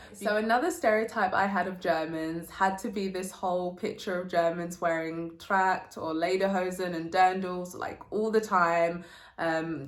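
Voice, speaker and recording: A woman's voice: lowish voice, a bit bright. Chatty young woman vlogger in an echoey room. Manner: chatty, informal, uses slang. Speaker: vlogger, channel host. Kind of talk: casual sit-down vlog chat, telling anecdotes. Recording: roomy, echoey indoor sound.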